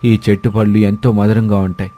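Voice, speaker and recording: A humble, kind-hearted village man, played by a man in a cartoon fable. His voice is mellow and low, eager to help, with music playing behind. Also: little pitch movement, brisk, natural voice. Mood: cheerful. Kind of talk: scripted moral-story cartoon dubbing.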